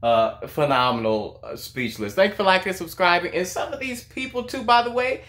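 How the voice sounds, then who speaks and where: Young adult male reaction host, higher-pitched voice, effusive and repetitive, casual delivery, recorded in an echoey room at home. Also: animated, fairly quick, fairly bright tone. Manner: effusive, emphatic, repeats words and phrases. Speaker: reaction video host, singer. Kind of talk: casual music reaction commentary. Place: small room at home, indoors.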